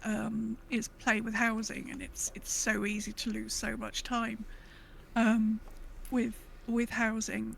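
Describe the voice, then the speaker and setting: A woman podcast host chats casually in a fairly high voice with a lot of vocal fry. There is some noise behind her. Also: a bit bright, rough recording. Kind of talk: casual chat.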